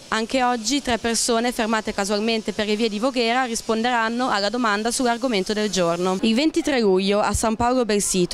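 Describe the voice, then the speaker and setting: A woman hosting a street interview, reading a clear introduction and asking short questions outdoors into a handheld mic. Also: mid-range voice, a little hiss or hum. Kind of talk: street vox pop interview. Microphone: handheld microphone.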